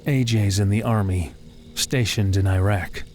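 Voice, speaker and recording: A man's voice: on the low side, measured pace, natural voice. An actor playing a guarded, self-deprecating young American working-class man in an audio drama, with a slangy, laconic way of talking. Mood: wry storytelling. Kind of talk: naturalistic audio drama dialogue. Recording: music playing behind the voice.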